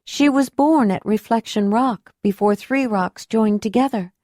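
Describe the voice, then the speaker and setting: A woman's voice: measured pace, dark tone, no echo, studio quality, close to an everyday voice. A woman voicing a dutiful young acolyte daughter, earnest and eager. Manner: high, earnest, a bit breathless. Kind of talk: audiobook character voice.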